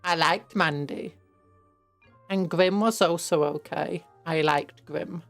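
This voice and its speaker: Croaky-voiced young British woman streamer. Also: deep voice, takes it slowly, soft background music. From Britain. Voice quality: croaky from hay fever. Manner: rambling and playful, with restarts and sudden squeals. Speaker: streamer painting miniatures. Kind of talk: casual livestream chat.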